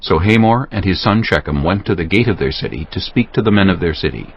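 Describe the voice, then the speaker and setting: A man narrating scripture as a scripted drama, in a solemn, measured storytelling manner. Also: low voice, animated. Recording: dull sound, clear music bed.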